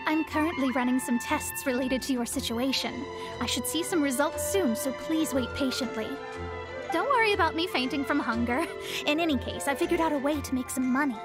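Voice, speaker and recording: A woman's voice: sits high, brisk, music in the background, natural voice. A woman playing a proud young astrologer witch in a video game, with a formal, precise, self-assured manner. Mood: brisk.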